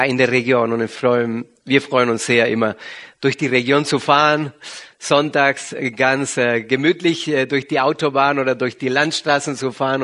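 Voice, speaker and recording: A middle-aged man with an Argentine accent and native Spanish, speaking haltingly to a congregation as a visiting pastor. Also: medium pitch, fairly bright tone. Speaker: visiting pastor and regional church leader. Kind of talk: sermon introduction to a congregation.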